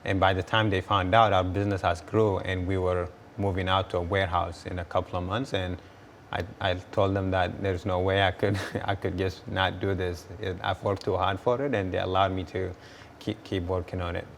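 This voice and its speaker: A man's voice: low voice, level tone, normal speed, creaky, a little hiss or hum. A young man, answering interview questions earnestly and often repeating words as he restarts. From Ethiopia. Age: 20s. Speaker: guest entrepreneur.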